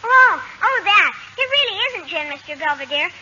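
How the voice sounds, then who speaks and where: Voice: very high voice, sing-song, normal speed, bright, forceful tone, heavily acted. A performer playing a plaintive, anxious young boy in a 1940s radio comedy. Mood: sheepish, earnest. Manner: childlike, plaintive. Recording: dull, noisy old radio recording.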